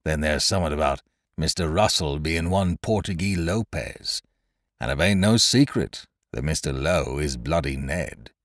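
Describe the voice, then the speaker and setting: Man putting on a gruff old boatswain. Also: a bass voice, unhurried, no echo, clean studio sound. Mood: wary, confiding. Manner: rustic seafaring dialect. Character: gruff old male boatswain, rough sailor.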